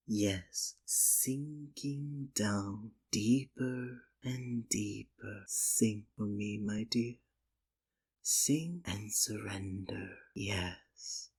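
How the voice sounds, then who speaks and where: Slow, breathy, sinister magician. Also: male voice, lowish voice, full character voice. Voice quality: soft, breathy. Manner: slow, coaxing, theatrical, condescending endearments. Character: sinister, possessive male stage magician, soothing hypnotist. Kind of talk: ASMR roleplay, scripted dramatic monologue.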